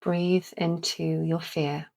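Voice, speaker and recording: A woman leading a calming guided visualisation in a gentle, deliberate manner with long pauses. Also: low-pitched, creaky. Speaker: guided meditation host.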